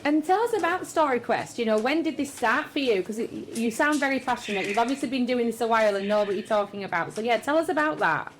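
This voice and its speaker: Female radio interviewer, fairly high voice, encouraging and conversational, faint noise. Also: animated, fast, hardly any pauses. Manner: encouraging, affirming. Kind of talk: casual radio interview chat.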